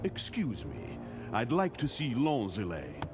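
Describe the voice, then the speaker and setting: A man voicing a calm, wry, centuries-old immortal mentor in a cartoon, urbane and composed. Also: medium pitch, measured pace. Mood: assured. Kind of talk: animated series acting. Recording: music under the voice.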